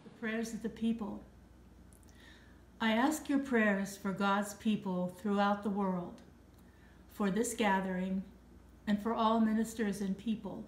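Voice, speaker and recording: An older woman leading the prayers of the people in a slow, measured reading. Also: medium pitch, steady tone, echoey room, slight background noise. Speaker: prayer leader. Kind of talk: liturgical reading.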